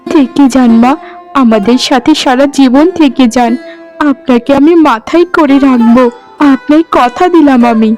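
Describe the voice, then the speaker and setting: A woman voices a young village wife in a cartoon drama. The voice is high, earnest and gentle, that of a humble, devoted daughter-in-law, with faint plucked strings behind. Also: moderate pace, lightly acted. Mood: tender. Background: plucked-string music.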